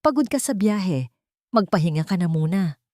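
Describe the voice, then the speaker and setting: A woman's voice: on the low side, expressive, moderate pace, darkish tone, no echo, natural voice. An uneasy, evasive husband as a woman narrator plays him in a dramatic tale. He is placating and falsely soothing, recorded very dry.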